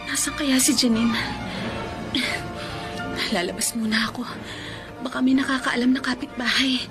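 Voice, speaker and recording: A woman's voice: high-pitched, steady tone, moderate pace, music in the background. A woman acting an anxious, caring friend in a Filipino radio drama, exclaiming and thinking aloud. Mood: worried.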